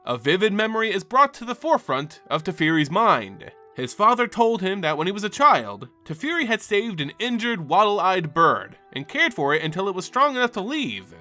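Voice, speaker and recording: A man's voice: high voice, sing-song, normal speed, sharp tone. A man reading scripted fantasy lore as a host and narrator, with a theatrical, earnest storyteller delivery. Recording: music behind the voice. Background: background music.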